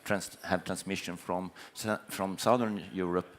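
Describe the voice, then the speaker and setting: A man's voice: sits low, fairly even intonation, unhurried, a little hiss or hum. A man speaking earnestly and emphatically in an unscripted panel discussion, in a conciliatory way. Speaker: panelist, energy company chief executive. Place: conference stage panel.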